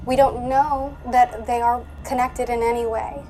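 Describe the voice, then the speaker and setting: A woman's voice: a little high, level tone, measured pace, warm tone, soft background music. A woman playing a tabletop roleplaying game, talking in character in a firm, argumentative way.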